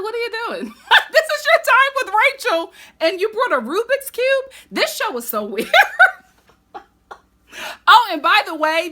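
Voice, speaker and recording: A woman recapping a reality show in a casual, chatty vlog, animated and quick to laugh, still getting over the flu. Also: high voice. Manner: animated, laughs often, sassy asides. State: recovering from flu.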